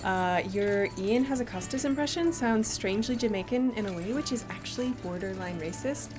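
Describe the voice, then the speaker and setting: A woman's voice: mid-range voice, fairly quick, music in the background. A woman in an acted comic dialogue as co-host, speaking in a hesitant but blunt way.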